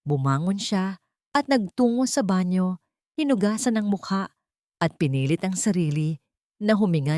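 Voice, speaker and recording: A woman narrating a scripted dramatic story in an emotive, deliberate way. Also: lowish voice, mellow, no room sound, studio quality.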